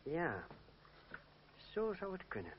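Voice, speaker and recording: An actor playing a proud young fencing master, formal and ironic, in a Dutch radio play. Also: male voice, on the high side, wildly swooping pitch, slow, exaggerated voice. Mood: resolute. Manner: formal, ironic, self-assured. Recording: dull, noisy old radio recording.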